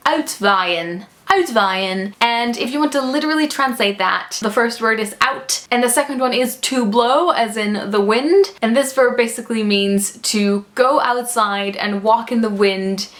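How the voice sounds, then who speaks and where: A young woman from the Netherlands whose first language is Dutch talks to camera in a casual, chatty way. Her voice sits a little high, and she sounds amused as she explains. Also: measured pace, a bit bright. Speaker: vlogger.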